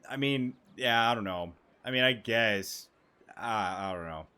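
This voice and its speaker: A man's voice: lowish voice, a little slow, bright, forceful tone, clean tone. A man giving casual, musing commentary as he plays through a game, informal and prone to swearing. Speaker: gaming streamer.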